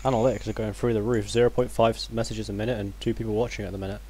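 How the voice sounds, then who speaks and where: A man's voice: on the low side, level tone, very fast, dark tone. A man chatting and bantering casually with friends in a giggly manner. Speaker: member of a group reaction show.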